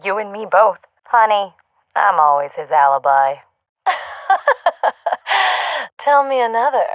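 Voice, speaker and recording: An actress playing a sardonic, flirty gangland nightclub regular in a video game, wry and teasing. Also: female voice, mid-range voice, very animated, slow, no echo, narrow, tinny audio.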